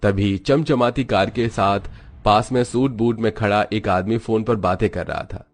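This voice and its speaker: Low-pitched male story narrator. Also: unhurried. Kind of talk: scripted narration of moral story.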